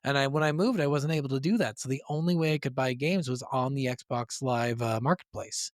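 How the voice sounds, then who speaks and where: A man's voice: medium pitch, fairly quick, no echo, clean studio sound. A man co-hosting a gaming podcast, chatting casually and bluntly with jokes and profanity. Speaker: podcast co-host.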